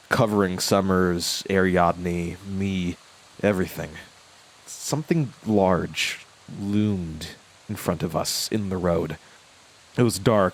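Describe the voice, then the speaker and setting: A man playing a brooding, haunted narrator in a horror audio drama, with a grave, halting and reflective delivery. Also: deep voice, breathy edge, heavily acted, poor audio.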